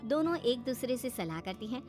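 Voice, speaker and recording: Woman, narrator, high pitch, scripted comic storytelling, faint background music. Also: very animated, normal speed, a little mellow.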